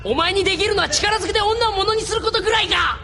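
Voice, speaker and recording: High, hot-headed young pilot. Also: male voice, fast, a loud crowd. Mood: accusing, furious. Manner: confrontational, heated. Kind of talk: anime audio drama acting. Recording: some background noise.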